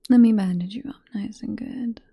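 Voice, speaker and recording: Whispering British vampire seductress, played by a woman. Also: mid-range voice. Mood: tender, possessive. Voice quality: soft, breathy whisper. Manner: slow, coaxing, condescendingly affectionate. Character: possessive, seductive female vampire professor, hypnotic and teasing. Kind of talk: whispered ASMR roleplay.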